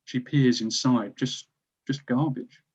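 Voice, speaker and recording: A man's voice: mid-range voice, steady tone, slow pace, darkish tone, slightly rough. Male screenplay narrator on slightly dull audio. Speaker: stage-direction narrator.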